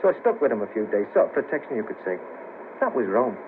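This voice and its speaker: A man's voice: fairly low pitch, sing-song, fast talker, phone-quality sound. An actor playing a world-weary, scheming ex band manager, down on his luck, in a colloquial 1970s radio drama. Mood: weary, reminiscing. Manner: wry, persuasive, colloquial. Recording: patchy noisy cassette of 1970s radio broadcast.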